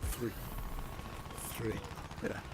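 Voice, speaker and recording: Young man, Dutch accent, non-native English, rambling hesitant commentary, game gunfire and noise behind. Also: very slow. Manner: rambling, hesitant, many restarts. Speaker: gaming YouTuber. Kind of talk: gameplay commentary voice-over.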